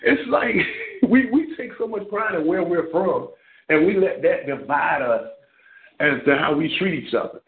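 A man's voice: fairly high pitch, animated, a little slow, slightly rough. A man calling in to a talk radio show, blunt and impassioned, talking in long rambling runs. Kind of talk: call-in radio commentary. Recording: tinny phone line.

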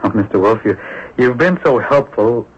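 An eager, husky-voiced secretive neighbour. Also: male voice, medium pitch, expressive, moderate pace. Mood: eager, coaxing. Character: eager, secretive neighbour, a man obsessed with a mystery. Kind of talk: radio mystery drama acting. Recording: dull lo-fi old radio broadcast recording.

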